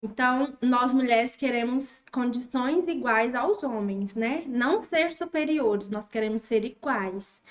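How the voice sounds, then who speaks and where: A young woman from Tocantins in her twenties, a teacher, talking in a casual interview and pausing thoughtfully as she speaks. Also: a little high. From Tocantins, Brazil. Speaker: guest, teacher.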